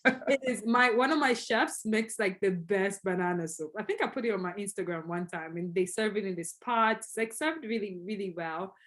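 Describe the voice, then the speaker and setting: A young woman from Tanzania whose first language is Swahili talks as an interview guest. Her voice sits in a middle range. She explains at length in a conversational, animated way, with frequent fillers and restarts. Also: medium pitch, brisk.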